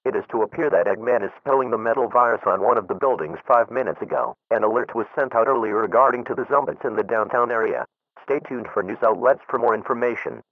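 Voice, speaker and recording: A man's voice: mid-range voice, fast, long pauses. A man giving a scripted emergency announcement in a formal, impersonal way. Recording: narrow phone-like radio audio.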